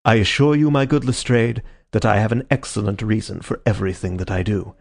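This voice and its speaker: A man reading an audiobook, voicing a brisk, theatrical male detective who speaks crisply and courteously. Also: on the low side, fairly even intonation. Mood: assured. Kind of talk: audiobook character voice.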